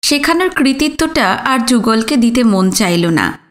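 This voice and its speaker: A woman's voice: fairly high pitch, very animated, a little slow. A woman reading literary fiction aloud as a scripted audio story narration.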